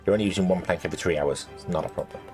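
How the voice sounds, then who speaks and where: A man with a low, slightly croaky voice, rambling through gameplay commentary with plenty of ums and restarts. Soft game music plays under his desk-mic narration. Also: racing. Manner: rambling, lots of ums and self-corrections. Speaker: let's play host.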